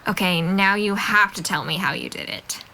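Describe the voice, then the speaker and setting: A woman's voice: medium pitch, moderate pace, pushed and bright. A woman playing a curious, teasing teenage girl in an audio drama, with a playful, inquisitive manner. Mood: curious, impressed.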